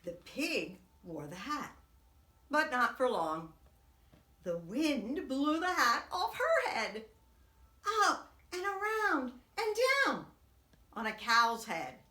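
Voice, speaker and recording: A woman reads a children's story like a preschool teacher, with bright, very lively swoops in pitch. Her delivery is slow and expressive, made for small listeners. The room around her is echoey. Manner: expressive, dramatic whispers and swoops. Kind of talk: animated read-aloud storytelling for young children.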